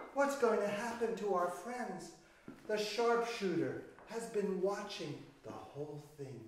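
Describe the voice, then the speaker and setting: A man telling a children's fairy tale as a storyteller, with theatrical, deliberate pauses and emphatic phrasing. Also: high-pitched, a little mellow, slight background noise. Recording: echoey room, distant microphone.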